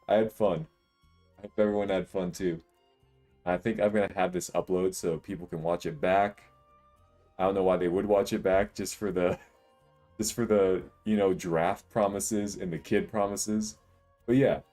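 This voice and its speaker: A man in his twenties with a deep voice, chatting casually and jokingly while live-streaming a video game. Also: mellow. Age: 20s. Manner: laid-back, joking, rambling.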